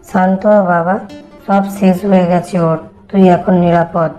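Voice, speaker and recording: A woman voicing a gentle, wise village grandmother in a cartoon story. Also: on the low side, level tone, unhurried, soft background music, lightly acted, poor audio. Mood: soothing, advising. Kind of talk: Bengali animated horror story dialogue.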